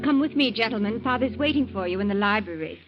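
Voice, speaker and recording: An actress playing the polite, earnest young daughter of a rich household, in 1940s radio acting. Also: female voice, medium pitch, expressive, fast, loud music behind the voice, close to an everyday voice. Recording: muffled 1940s radio broadcast.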